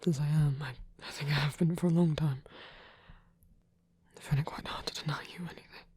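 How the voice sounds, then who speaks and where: A performer voicing a gentle, protective vampire priest in a soft, husky whisper, tender and hesitant, as intimate ASMR roleplay. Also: mid-range voice, fairly even intonation, a little fast. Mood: devoted, soft.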